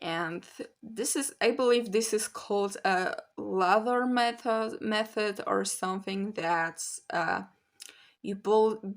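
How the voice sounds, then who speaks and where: Slow-talking young woman vlogger. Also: mid-range voice. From Poland. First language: Polish. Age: young adult. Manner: chatty, candid, slow and musing. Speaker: language-learning vlogger. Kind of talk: casual talk to camera.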